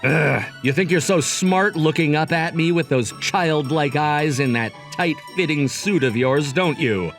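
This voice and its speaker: A man's voice: on the high side, a little slow, hardly any pauses. An actor playing a smug, logic-obsessed young man who narrates and banters theatrically in a manga dub. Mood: teasing, incredulous. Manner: smug, wordy, theatrical, dramatic asides. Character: cocky, logic-obsessed young male job seeker, teasing romcom narrator. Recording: music behind the voice.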